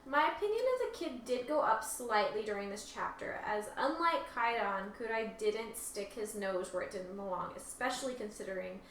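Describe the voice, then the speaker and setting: A woman's voice: medium pitch, expressive, normal speed, hardly any pauses, a bit bright. A woman co-hosting casually, switching between reading messages aloud and chatting. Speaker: co-host reading out listener reviews. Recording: echoey room, distant mic, faint noise.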